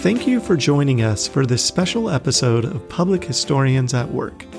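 A man reading the scripted closing announcement of a podcast in a clear, deliberate way. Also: mid-range voice, sing-song. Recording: voice over plucked guitar music.

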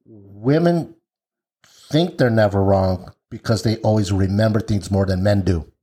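Raspy-voiced man, hesitant co-host. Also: on the low side, a little slow, warm tone. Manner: hesitant, fumbling for words. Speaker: podcast co-host. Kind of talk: casual podcast chat.

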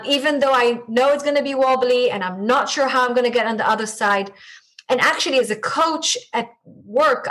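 Woman, Israeli accent, Hebrew native, podcast guest, reflective, halting, somewhat high voice. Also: a bit bright, rough recording. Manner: reflective, halting with many restarts. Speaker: guest, leadership coach. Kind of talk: podcast interview conversation.

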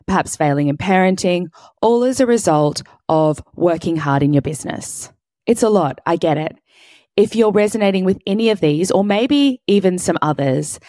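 A woman with a husky, hoarse voice, hosting a solo podcast and explaining business coaching step by step. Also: on the low side, darkish tone, no echo, studio quality. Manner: explains step by step in clear lists. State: hoarse, sore throat.

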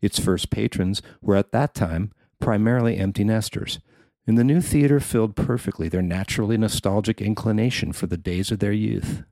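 A man's voice: fairly low pitch, normal speed, vocal fry, warm tone, no echo. A man reading his own written feature article aloud as scripted narration.

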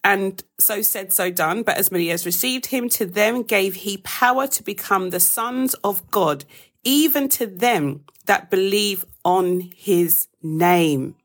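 A woman with a croaky voice hosting a live daily devotional, praying and then teaching a Bible verse in a casual, chatty way. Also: medium pitch, takes it slowly. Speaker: devotional host.

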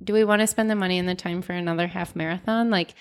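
A woman's voice: on the low side, steady tone, fast, smooth. A young adult woman, co-hosting a casual podcast conversation in an upbeat, reflective way. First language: English. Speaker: podcast co-host.

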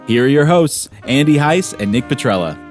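A man reading a scripted podcast intro and legal disclaimer in a measured announcer style. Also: mid-range voice, very animated. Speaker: show announcer. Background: soft guitar music.

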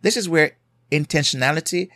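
A man's voice: a little high, a little fast, a bit bright, no room sound, clean studio sound. A man with a Jamaican accent who hosts a podcast as a marriage coach. He gives advice in an earnest, conversational way and often checks in with his listeners. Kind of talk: solo podcast advice talk.

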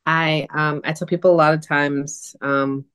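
A woman's voice: sits low, fairly even intonation, a little slow, fairly bright tone, smooth. A woman hosting a conversational podcast interview, speaking as a coach in an encouraging, affirming way. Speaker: podcast host, business coach.

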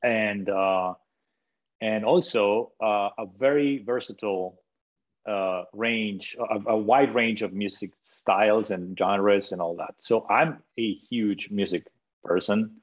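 A man's voice: fairly low pitch, slow, dull audio. A man from Spain, a podcast guest, talking casually about music with many restarts and hesitations. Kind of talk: casual interview conversation.

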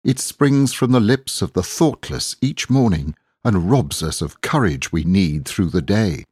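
Male poetry reader, unhurried pace, earnest exhorting delivery, measured recitation, close dry sound. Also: on the low side, lively intonation, darkish tone, breathy edge, no room sound.

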